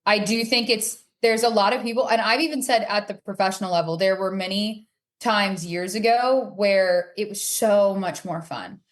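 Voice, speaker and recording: Candid woman, mid-pitched casual voice. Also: normal speed. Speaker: podcast guest, competitive player. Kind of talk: casual chat.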